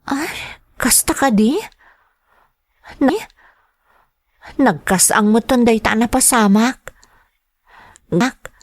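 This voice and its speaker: A hopeful, dutiful young daughter in a radio drama. Her voice sits fairly high with a Filipino accent and earnest delivery, captured close and without room echo. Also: takes it slowly, pauses often. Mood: concerned, sympathetic. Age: young adult. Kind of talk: Ilocano radio drama acting.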